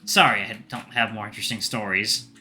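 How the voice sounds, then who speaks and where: A man's voice: fairly low pitch, steady tone, fairly quick, pushed and bright, faint music behind. A man voicing an awkward young woman in her twenties, a student daughter in an audio story, hesitant and a little defensive.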